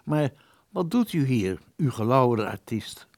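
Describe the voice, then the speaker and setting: An actor playing a ghost from a bygone age in an audio drama, formal and ornate in a declamatory way. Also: male voice, medium pitch, big pitch swings, unhurried, darkish tone, no echo. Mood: courteous, solemn. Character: courteous, scornful male ghost from a bygone age.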